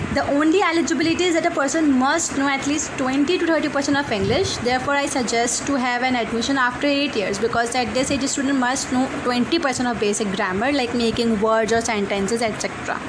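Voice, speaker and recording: A woman's voice: sits high, background noise. A woman with a Pakistani accent, an online English teacher earnestly introducing her course, running through lists with frequent fillers. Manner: earnest, many fillers, list-like delivery.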